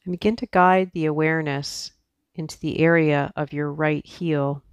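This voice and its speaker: A woman teaching yoga guides a meditation softly and soothingly, leaving long pauses between her instructions. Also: deep voice, steady tone, clear, resonant tone, no room sound.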